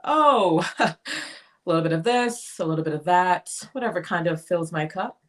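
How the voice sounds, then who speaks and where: A woman's voice: fairly low pitch, animated, normal speed, fairly bright tone. A woman playing an aloof, sardonic bohemian artist in a dramatic play reading. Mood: wry.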